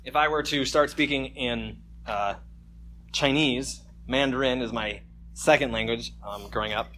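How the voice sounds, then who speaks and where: A man's voice: mid-range voice, measured pace, sharp tone, a little hiss or hum. A man preaching a conversational sermon at a church service, joking casually and reading scripture with emphasis. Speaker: pastor.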